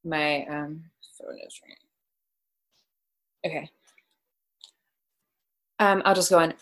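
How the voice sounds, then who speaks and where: A woman's voice: low-pitched, fairly even intonation, takes it slowly. A woman asking informal, conversational questions as an interviewer.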